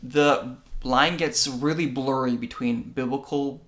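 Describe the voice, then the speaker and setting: A man's voice: mid-range voice, fairly even intonation, slow, fairly bright tone. Earnest man explaining church matters. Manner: earnest, explanatory, asks rhetorical "right?". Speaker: podcast co-host. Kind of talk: conversational discussion on faith and church.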